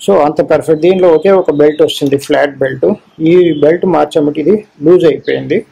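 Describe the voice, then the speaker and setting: A middle-aged or older man gives an informal repair demonstration, explaining in short, halting phrases and repeating himself. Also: on the high side, creaky, dark tone, breathy edge, rough recording. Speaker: electronics repair technician and presenter.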